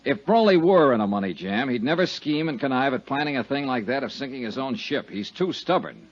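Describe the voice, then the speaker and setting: A man's voice: mid-range voice, lively intonation, fairly quick, close to an everyday voice. An American man playing a hard-boiled, brooding and self-assured insurance investigator in a 1950s radio detective drama. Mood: insistent. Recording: dull, muffled 1950s radio recording.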